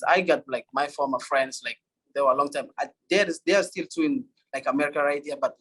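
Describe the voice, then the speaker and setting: A young man from Kenya with a Kenyan accent, a Swahili speaker, answering interview questions haltingly with many fillers and restarts. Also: medium pitch, fairly bright tone, slightly rough, poor audio. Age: young adult. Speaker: college runner.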